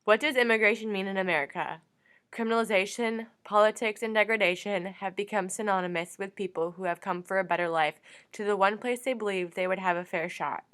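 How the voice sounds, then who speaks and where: A woman's voice: medium pitch, steady tone, brisk, a bit bright. A young woman with a mid-range voice reads an earnest, rhetorical documentary script, posing pointed questions to the listener. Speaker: student narrator.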